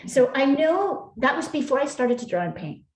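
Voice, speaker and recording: A woman's voice: high voice, very animated, normal speed. Woman art teacher, forties, from Seattle, chatty, lots of ums, echoey room. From Seattle, USA. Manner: chatty, many fillers, warm encouragement. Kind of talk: casual chat and teaching. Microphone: video call.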